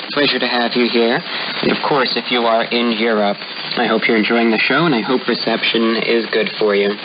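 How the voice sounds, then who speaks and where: A man's voice: medium pitch, dull audio. Man hosting through noisy shortwave radio static. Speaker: radio host. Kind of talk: music request show announcing, listener shout-outs. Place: broadcast heard over shortwave, captured on SDR receiver.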